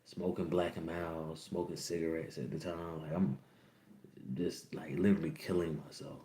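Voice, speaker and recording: A man's voice: rumbling low, level tone, moderate pace, warm tone, reverberant. A young man in his twenties hosting a podcast, telling a candid personal story to his viewers, rambling and repeating lines. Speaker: podcast host. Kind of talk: personal storytelling to a livestream audience.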